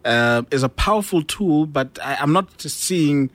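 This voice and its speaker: A man's voice: a little high, expressive, measured pace, a bit bright, dry recording. Hesitant male radio interviewer, dry sound. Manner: hesitant, many "uh" fillers.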